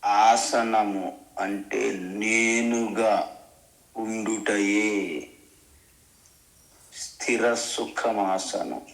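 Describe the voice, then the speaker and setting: A man leading a guided meditation as a spiritual teacher, giving measured instructions in short phrases with long pauses. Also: lowish voice, flat delivery, fairly bright tone. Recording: roomy, echoing sound.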